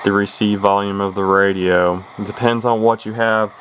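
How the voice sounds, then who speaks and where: Deep-voiced man rambling over radio hiss. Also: steady tone, normal speed, fairly bright tone. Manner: rambling, hesitant, many fillers and restarts. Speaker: CB radio collector showing a radio. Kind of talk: casual show-and-tell video. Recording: noisy home video with radio hiss.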